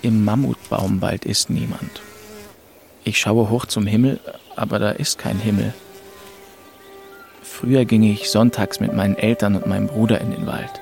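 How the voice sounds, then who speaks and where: A young adult narrator in a radio drama, wistful and introspective. His voice sits low with a gravelly creak, and music plays clearly behind him. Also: fairly even intonation, normal speed, darkish tone. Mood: wistful, brooding. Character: melancholy young French man, orphaned, narrating his road trip. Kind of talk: first-person radio play narration and dialogue.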